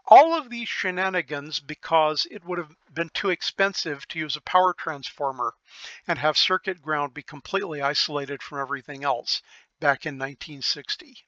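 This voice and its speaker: An older man giving an informal technical explanation, methodical and thinking aloud with pauses. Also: a little high, pushed and bright, dry recording, highs cut off. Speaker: electronics repair hobbyist presenter.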